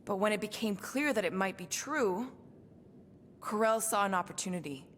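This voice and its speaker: An actress playing a guarded, serious female assassin in a video game, speaking in a measured, dry, deliberate way. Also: mid-range voice, lively intonation, a bit bright, big-room sound, slight background noise, close to an everyday voice. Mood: grave. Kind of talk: dramatic video game acting.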